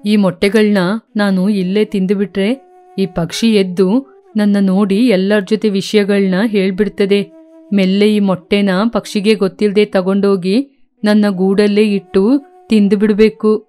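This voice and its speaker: A woman's voice: mid-range voice, little pitch movement, unhurried, dark tone, pitched below the performer's own voice, close to an everyday voice. Woman voicing a sly, greedy cartoon snake. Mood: scheming. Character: greedy sneaky egg-eating snake. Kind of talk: children's cartoon story acting.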